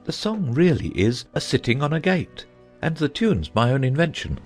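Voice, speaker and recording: Man playing swooping, gentle, foolish knight. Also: fairly high pitch, sing-song, normal speed, soft background music. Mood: proud. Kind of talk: dramatized audiobook acting.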